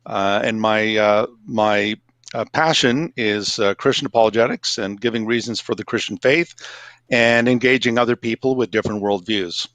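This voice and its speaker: Casting: male theology lecturer from Canada, dry close sound, even-paced delivery. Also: fairly low pitch, moderate pace, dry recording. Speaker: seminary teacher and minister. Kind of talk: guest introduction.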